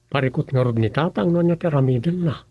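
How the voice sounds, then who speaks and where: Actor doing grumbly ilocano guy for radio comedy, gravelly, raspy, dry close sound. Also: fairly high pitch, fast talker, no echo, narrow, tinny audio. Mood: grumbling.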